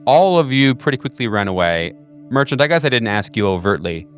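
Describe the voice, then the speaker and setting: A man's voice: medium pitch, very animated, a little fast, soft background music. A man narrating as game master of a horror tabletop game, rambling with fillers and restarts.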